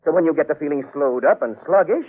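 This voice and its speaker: A man's voice: fairly high pitch, animated, quick pace, slightly rough. A male actor playing a busy, practical working man giving advice in an old radio commercial. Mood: brisk, advising. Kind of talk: vintage radio ad dialogue. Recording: tinny old radio recording.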